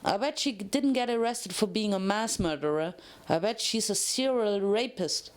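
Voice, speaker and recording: A woman's voice: mid-range voice, moderate pace, natural voice. A woman voicing a teasing, mocking female pony inmate gossiping in a story reading. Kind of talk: dramatic fan fiction reading.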